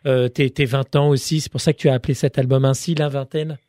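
A man's voice: mid-range voice, flat delivery, quick pace, warm tone, dry recording, studio quality. A man working as an interviewer, asking casual questions in an informal, somewhat hesitant way.

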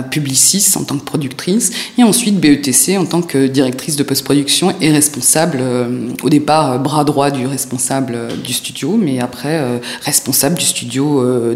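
A woman in her forties from the United States, answering interview questions with frequent hesitations and fillers. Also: very deep voice.

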